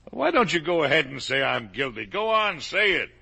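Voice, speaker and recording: A man's voice: a little high, sing-song, normal speed, bright, forceful tone, exaggerated voice. A man acting in a 1950 radio drama as a middle-aged factory-owning father, folksy, blustering and defensive. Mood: angry, defensive. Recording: raspy old radio broadcast recording.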